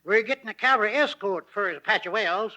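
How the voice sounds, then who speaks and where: An actor playing an excitable, folksy stagecoach driver in a 1940s western radio drama. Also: male voice, high voice, a little fast. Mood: folksy, matter-of-fact. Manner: folksy, exclamatory. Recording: vintage 1940s radio broadcast.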